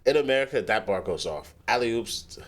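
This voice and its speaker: A man hosting a rap battle reaction, talking casually and animatedly with lots of swearing. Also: mid-range voice. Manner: animated, swearing, pauses clip to comment.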